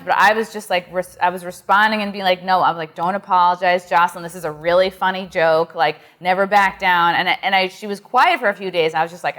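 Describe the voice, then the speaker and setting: An earnest woman comedian speaks with passion, using plenty of fillers. Her voice sits a little low. She is giving an interview. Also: fairly bright tone. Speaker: comedian guest. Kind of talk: interview conversation.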